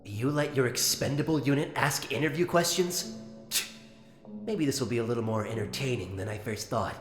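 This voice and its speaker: A man's voice: mid-range voice, normal speed, close to an everyday voice. A man voicing a smug, bitter mutant sea-creature prisoner in a comic dub, with a theatrical, mocking, sarcastic drawl. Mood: sardonic. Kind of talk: scripted comic dub voice acting. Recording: reverberant room sound, music underneath.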